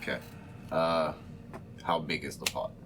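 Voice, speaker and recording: A man's voice: very deep voice, flat delivery, a little slow, noisy background. A man talking casually as a player in a tabletop game with a group.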